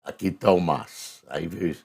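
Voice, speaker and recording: A Brazilian man hosts a relaxed newspaper review, his voice hoarse and husky. He speaks low and unhurried, with a rough, gravelly edge. He stretches out the headlines as he reads them. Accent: Brazilian Portuguese. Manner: conversational, reads headlines aloud slowly with emphasis. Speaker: news commentator reviewing front pages. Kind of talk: casual spoken press review.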